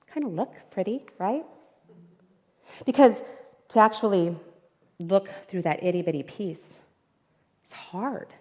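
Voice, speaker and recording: A woman's voice: on the low side, vocal fry, a little mellow. Woman, guest speaker, candid emotional storytelling, long hall reverb. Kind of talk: inspirational talk. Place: spiritual centre hall, livestream. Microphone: microphone in front of her.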